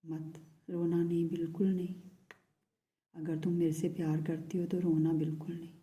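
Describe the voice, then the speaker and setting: A woman voicing a hushed, lovesick man. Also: on the low side, flat delivery, normal speed, lightly acted. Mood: tender, pleading. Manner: soft, hushed, often whispering. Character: lovesick young man, pleading and tender. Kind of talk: channelled love message in first person.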